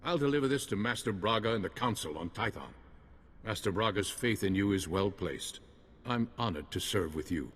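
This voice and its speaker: A calm, noble Jedi from a video game. The voice is low and courteous, carried in a long reverberant hall. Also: male voice, normal speed, breathy edge. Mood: calm, respectful. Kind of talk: scripted video game cutscene acting.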